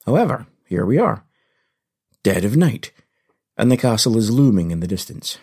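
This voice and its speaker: Man, husky, very animated pitch, playful children's adventure storytelling, wry understatement. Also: moderate pace. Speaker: podcast host and storyteller.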